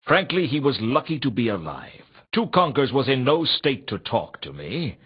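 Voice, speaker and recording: A hardboiled private eye narrating his case in a video game. He is brooding and wisecracking, with a slightly husky edge and a very mobile, dramatic pitch under the deadpan wit. The audio is a little dull and lo-fi. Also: moderate pace. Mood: dry, wry. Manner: deadpan, dry, brooding delivery. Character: world-weary, wisecracking male private investigator, hardboiled noir. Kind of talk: scripted noir detective narration for a video game.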